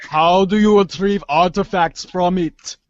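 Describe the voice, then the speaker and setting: A man's voice: sits high, fairly even intonation, a little slow, dull audio. A man voicing a cool kid as an action star with a mock Austrian accent. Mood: swaggering, brusque.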